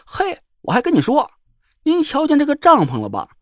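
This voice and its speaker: A man's voice: extremely high pitch, huge pitch swings, normal speed, dry recording, studio quality, voice pushed up, full character voice. A man voicing a cocky, teasing middle-aged man with a bantering, mock-boastful drawl.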